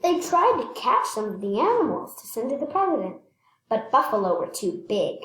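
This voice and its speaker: A woman reading a children's picture book aloud expressively, stressing words and pausing to point things out. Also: on the high side, sing-song, fairly bright tone, reverberant, slight background noise, rough recording. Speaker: read-aloud storyteller.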